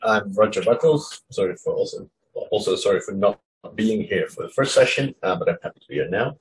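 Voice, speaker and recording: A man's voice: lowish voice, animated, brisk, husky, poor audio. A man who works as a lawyer and academic, informally introducing himself at a workshop.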